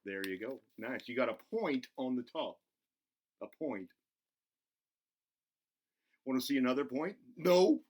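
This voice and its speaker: Goofy, excitable cartoonish buzzard played by a man, hosting for kids, giggly, very animated pitch. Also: measured pace, long pauses. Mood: playful, encouraging. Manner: giggly, self-interrupting, talks to himself in third person. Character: excitable, goofy buzzard host of a children's show. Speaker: children's show host. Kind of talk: playful craft demonstration for children.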